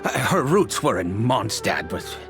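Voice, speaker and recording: A cursed immortal nobleman from a fantasy game, weary and bitter. His delivery is slow and halting, full of anguish, and his pitch rises and plunges dramatically. Faint music plays behind him. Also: big pitch swings, full character voice. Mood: wistful, remorseful. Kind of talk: dramatic video game voice acting.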